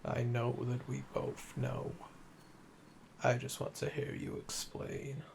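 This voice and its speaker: A man playing a calm, flirtatious, obsessive assassin under interrogation in an intimate ASMR roleplay, teasing and smug in a deliberate way. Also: medium pitch, vocal fry, a little hiss or hum, exaggerated voice. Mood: calm, controlled, flirtatious.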